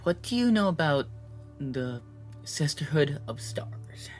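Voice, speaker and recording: An actor playing a hesitant but determined young male superhero, speaking haltingly. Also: a little high, sing-song, soft background music. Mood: confronting, serious.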